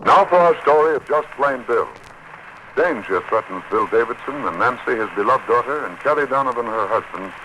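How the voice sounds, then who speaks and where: Clear noise of an old radio broadcast surrounds a male announcer. The voice has a middle pitch. The scripted delivery introduces serial drama with theatrical weight. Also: animated, brisk, sharp tone, rough recording.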